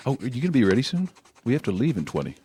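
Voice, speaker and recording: A man acting in an audio drama as a middle-aged politician father, educated, defensive but caring, speaking in a conversational, gently questioning way. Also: mid-range voice, huge pitch swings, rapid-fire, slight background noise. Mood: gently teasing.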